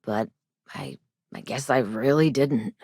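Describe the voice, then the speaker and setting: An actress playing an earnest, loyal company employee in a video game, sincere and hesitant, with emotional delivery. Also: female voice, rumbling low, slow pace, mellow, husky, no echo, clean studio sound, full character voice. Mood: dismayed. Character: earnest, loyal company employee woman, soft-hearted and troubled. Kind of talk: video game character dialogue.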